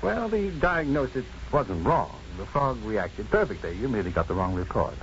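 An actor playing an assured, wry, kindly physician and professor in a 1950s radio drama, speaking in a measured, dryly witty, deliberate way. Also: male voice, mid-range voice, very animated. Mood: gentle, careful. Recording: muffled, faintly noisy 1950s radio broadcast.

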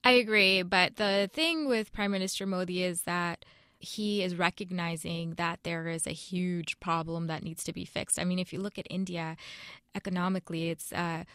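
A woman's voice: fairly low pitch, fairly even intonation, moderate pace, a bit bright, smooth, no room sound. Earnest woman correspondent, dry sound. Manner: earnest, explanatory. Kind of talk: news interview.

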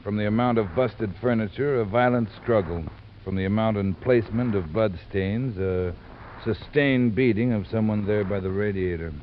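A man playing a weary, gruff American homicide sergeant in a 1950s radio drama, curt and matter-of-fact. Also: fairly low pitch, moderate pace, few pauses, close to an everyday voice. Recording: muffled, faintly noisy 1950 radio recording.

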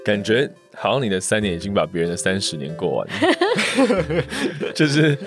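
A man's voice: lowish voice, wildly swooping pitch, normal speed. A man with a Taiwanese Mandarin accent co-hosting a casual podcast chat. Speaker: podcast co-host. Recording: music playing underneath.

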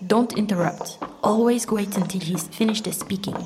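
An actress playing a bossy woman giving hushed instructions in an audio drama. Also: medium pitch, moderate pace, close to an everyday voice. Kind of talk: audio fiction acting.

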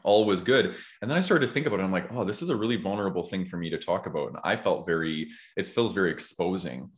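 A man in his thirties hosting a podcast, talking in a reflective, conversational way with an earnest, measured manner. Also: deep voice, lively intonation. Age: 30s. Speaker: podcast host.

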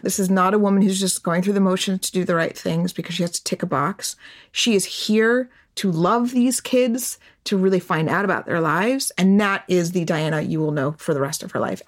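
A woman's voice: lowish voice, fairly quick. A woman on a podcast who speaks in an earnest, articulate and emphatic way while explaining historical background. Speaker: podcast guest.

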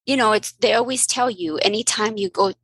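A woman who grew up in Mexico with Spanish as her first language, telling lively stories as a guest physician in a casual interview. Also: mid-range voice, fairly quick, husky, no echo. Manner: animated, laughing storyteller.

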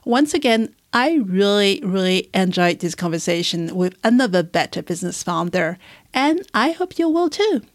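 A woman's voice: medium pitch, big pitch swings, normal speed, no echo, studio quality. A woman hosting an interview, reading a scripted introduction, then asking questions as an attentive, encouraging listener. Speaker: podcast host.

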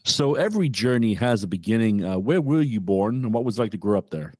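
Low-voiced man hosting a podcast. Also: animated, a little mellow, husky, dry recording. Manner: brisk, formal question-asking. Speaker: podcast host. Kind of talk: interview hosting.

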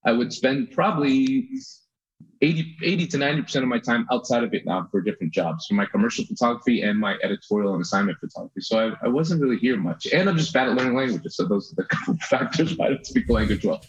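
An American man in his thirties, a photographer guest telling his story in a relaxed chat with frequent restarts. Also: medium pitch, fast, husky. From USA. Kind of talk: casual interview chat. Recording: dull lo-fi audio.

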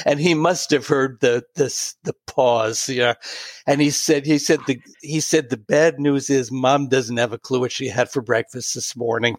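A man in his late sixties telling a personal story in an interview, pausing and restarting often. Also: mid-range voice, fairly even intonation, no echo. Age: 60s. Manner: warm, halting anecdotal storytelling with many restarts. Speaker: guest.

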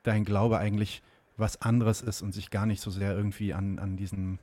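A man's voice: lowish voice, little pitch movement, normal speed, dark tone, dry recording. A man from Germany hosting an interview, asking long, searching questions with many fillers. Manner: hesitant, searching for words, asks long questions. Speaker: host. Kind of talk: conversational interview.

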